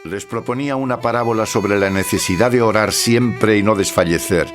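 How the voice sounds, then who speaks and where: A man's voice: on the low side, normal speed, soft background music. A man narrating scripture from a script in a solemn, formal manner.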